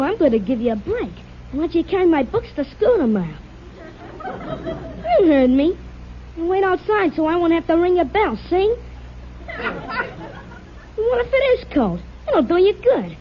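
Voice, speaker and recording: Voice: extremely high pitch, big pitch swings, moderate pace, pauses often. An actor playing an eager, cocky teenage boy in a 1950 radio family comedy, exclaiming his lines. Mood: cocky, showing off. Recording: dull noisy old radio with studio audience.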